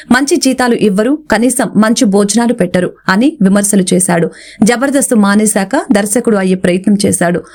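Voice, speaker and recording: A woman's voice: medium pitch, a little mellow. A woman reading a scripted celebrity news report as a narrator.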